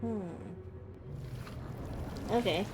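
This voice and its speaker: A woman streaming a video game, commenting casually and thinking aloud in a relaxed way. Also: medium pitch, drawn-out words. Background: game music.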